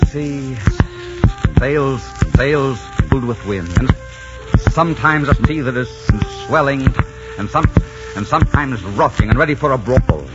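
An actor playing a dashing, boastful pirate captain in a 1940s radio drama, spinning tall tales and turning tender and dreamy. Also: male voice, medium pitch, unhurried, natural voice. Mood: romantic. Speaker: pirate leader. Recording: muffled old radio broadcast with music.

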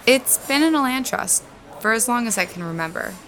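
A woman's voice: a little high, animated, fairly quick, fairly bright tone, slight background noise, low-quality recording. A woman acting in a naturalistic audio drama as a confident, dryly teasing, blunt farm owner. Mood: plain, guarded.

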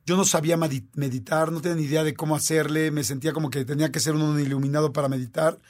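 A man's voice: on the high side, fairly even intonation, rapid-fire, a little mellow. A man with a Mexican Spanish accent, hosting a conversation and talking earnestly and animatedly. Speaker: host. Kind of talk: casual conversation.

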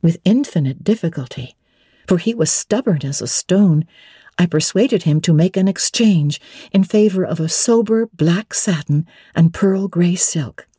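A woman narrating classic literature as a dramatic audiobook reading, measured, expressive and theatrical. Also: lowish voice, breathy edge, no echo, studio quality.

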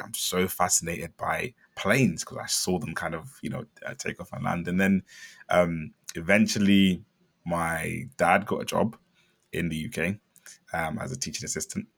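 A young man from Zambia, a company founder telling his story in a chatty interview, with lots of fillers and bursts of excitement. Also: sits low, gravelly. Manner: chatty, many fillers, animated when excited. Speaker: startup founder guest.

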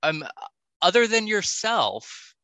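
Male podcast host, gushing and enthusiastic, slow-paced delivery, bright forward voice, dry close sound. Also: sits high, very animated, dry recording. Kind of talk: casual interview chat.

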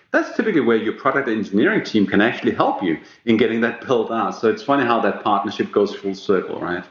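A man's voice: fairly low pitch, a bit bright. Danish-born man, roomy lo-fi call. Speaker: sales executive guest. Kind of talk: business podcast interview.

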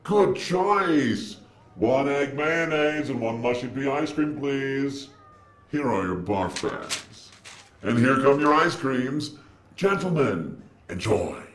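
A man's voice: mid-range voice, lively intonation, slow, roomy sound, slight background noise. A man playing an unctuous, ghoulish waiter in a comic audio drama, delivering theatrical sales patter. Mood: smooth, obliging. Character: unctuous ghoulish waiter peddling revolting food in hell.

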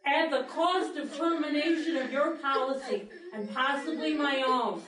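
A woman's voice: high voice, a little slow, a crowd in the background. A woman playing a rigid, rule-bound corporate official in a live sci-fi audio drama, stern and formal. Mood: officious. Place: live panel in a public library room. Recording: echoey room, far from mic, noisy.

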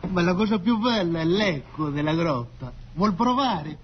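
Effusive male boatman, high voice, vintage radio drama, noisy muffled recording. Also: lively intonation, normal speed. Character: effusive Italian boatman guide touting local sights. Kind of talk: 1950s radio drama acting.

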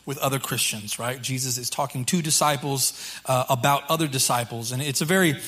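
A man preaching as a pastor to his congregation in an informal, halting way, repeating phrases, with a slightly hoarse voice. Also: medium pitch, fairly bright tone. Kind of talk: sermon to a congregation. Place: church service.

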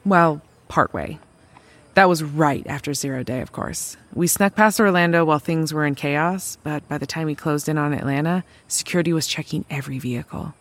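A woman's voice: low-pitched, normal speed. A woman playing a resourceful drifter mechanic, telling of travels in a naturalistic audio drama, conversational and wry. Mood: reflective, wry.

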